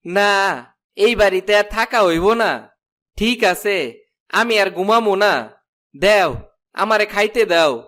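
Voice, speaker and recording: A man's voice: sits high, unhurried, pauses often, a bit bright. Lazy village lad, eastern Bengali accent man acting. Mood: grumpy. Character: lazy, carefree, whining young village son. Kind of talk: cartoon folk-tale voice acting.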